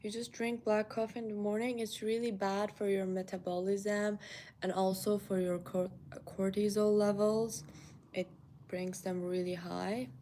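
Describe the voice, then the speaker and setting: A woman's voice: medium pitch, flat delivery, unhurried, dark tone, slight background noise. A young woman from Iran with a Persian accent, chatting casually to viewers while having breakfast at home. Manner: chatty, thinks aloud while eating. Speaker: vlogger. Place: home kitchen, indoors.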